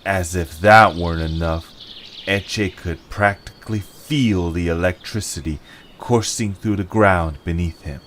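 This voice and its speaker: A man's voice: deep voice, measured pace, a bit bright, slight background noise. A man reading scripted story narration as the narrator.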